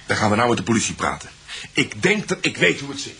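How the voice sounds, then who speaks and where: A man's voice: lowish voice, big pitch swings, fast talker, pushed and bright, slight background noise, heavily acted. A man playing a resolute, inquisitive husband in a radio drama, questioning firmly. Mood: pensive. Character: resolute, inquisitive husband investigating a sinister mystery.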